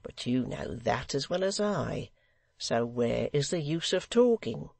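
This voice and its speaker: A man's voice: mid-range voice, expressive, a little slow, gravelly, darkish tone, no room sound. A man voicing a sly, mock-courteous blind extortioner in a theatrical, insistent storybook reading.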